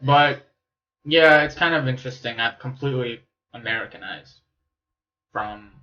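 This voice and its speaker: A man's voice: mid-range voice, moderate pace, sharp tone, highs cut off, slight background noise. A young man born in Romania, hosting a podcast in loose, joking chat and swearing casually. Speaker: podcast host.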